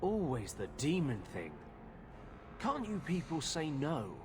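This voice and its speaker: An actor playing a pragmatic companion in a fantasy video game, speaking in a dry, wry way. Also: male voice, on the high side, sing-song, slow pace. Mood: exasperated. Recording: music behind.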